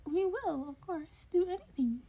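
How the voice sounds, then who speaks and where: A woman's voice: very high-pitched, expressive, measured pace, highs cut off, voice pushed up, heavily acted. A woman voicing a small furry animal school principal in an audio story, confident and curious, with polite, formal, probing speech. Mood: firm, precise.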